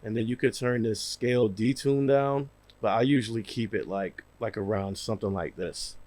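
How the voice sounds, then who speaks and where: A man in his thirties with a slightly raspy, worn voice, casually explaining software in a home studio over a headset mic, with lots of fillers. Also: fairly low pitch, moderate pace. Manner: relaxed, rambling, many fillers. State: losing his voice, a bit raspy. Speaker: music producer. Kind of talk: casual screen-share tutorial.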